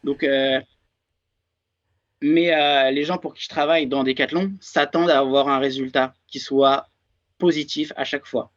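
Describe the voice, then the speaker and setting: A man's voice: mid-range voice, steady tone, normal speed. A man in his thirties with a French accent, talking as an interview guest and hesitating often with fillers and restarts. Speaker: guest, research and development engineer. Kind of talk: casual interview talk. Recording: dull lo-fi sound.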